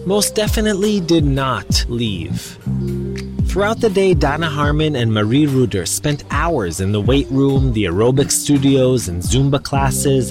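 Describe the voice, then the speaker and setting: A male reporter narrates a news feature with an animated, lively voice that rises and falls. His scripted delivery moves the story along. Soft music runs underneath. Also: medium pitch.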